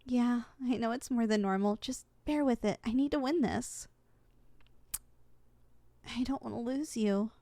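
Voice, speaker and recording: A woman playing an eager, sweet and easily flustered young succubus trainee in an ASMR roleplay, expressive and coaxing. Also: on the high side, a little slow, pauses often, dry recording. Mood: gentle, coaxing.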